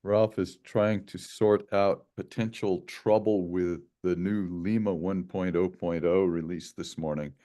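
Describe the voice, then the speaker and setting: A man with a very low, mellow voice hosts a casual online meeting. He talks in an easygoing, chatty way with plenty of fillers. It sounds like a video call. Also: measured pace, few pauses. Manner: relaxed, chatty, many fillers. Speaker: meeting host, project lead.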